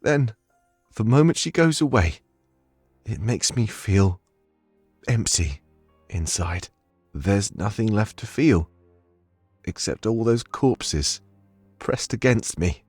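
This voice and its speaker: Earnest, low-voiced loyal companion. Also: male voice, sing-song, slow pace, long pauses, a little mellow, husky, no room sound, heavily acted. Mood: haunted, grieving. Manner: heartfelt, emotional, confiding. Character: earnest, haunted young male loyal companion to a monarch. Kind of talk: scripted visual novel character dialogue. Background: background music.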